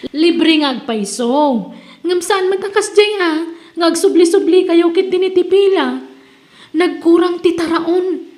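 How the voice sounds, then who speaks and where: Woman playing a very high-pitched scolding Ilocano daughter. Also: unhurried, a little mellow. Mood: chiding. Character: disapproving, sensible young daughter scolding her mother. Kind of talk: comedy drama acting.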